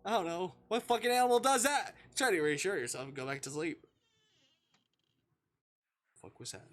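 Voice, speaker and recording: A livestreamer reading a visual novel aloud and commenting on it, expressive and sweary, with dramatic delivery. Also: medium pitch, moderate pace. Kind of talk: livestream gameplay commentary mixed with read-aloud narration. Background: music.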